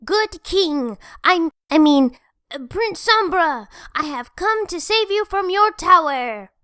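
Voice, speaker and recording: Tiny weird sweet cartoon pony kid, super high, slow n swoopy. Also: female voice, expressive, pushed and bright, dry recording, studio quality, exaggerated voice. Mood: playful. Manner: childlike, slow, playful. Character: odd, kind, imaginative little filly who plays make-believe.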